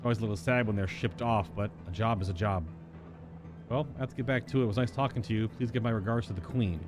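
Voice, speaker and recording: A man voicing an earnest, contented farm worker from a fantasy game, reading the dialogue aloud in a polite, measured manner. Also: on the low side, lightly acted. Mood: friendly, content. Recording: music behind the voice.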